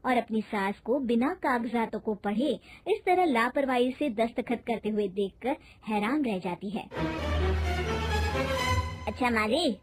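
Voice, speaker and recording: A woman's voice: a little high, expressive, normal speed, few pauses, a little mellow, soft background music. A woman narrating a scripted moral story as a storyteller.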